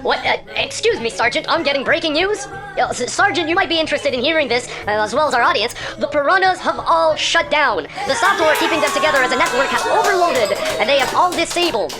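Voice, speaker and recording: High, lively, flippant radio newscaster played by an actor. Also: quick pace, a crowd in the background. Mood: breaking-news fluster. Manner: breezy announcer patter. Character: excitable, cheerfully flippant future radio newscaster. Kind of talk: mock news broadcast in a sci-fi radio play. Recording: music behind the voice.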